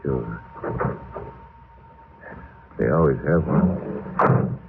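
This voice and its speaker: A man's voice: rumbling low, drawn-out words, creaky, full character voice. An actor playing a tormented, guilt-ridden husband who killed his wife, in melodramatic old-time radio thriller style. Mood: dazed, brooding. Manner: melodramatic, haunted. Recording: noisy muffled old radio recording.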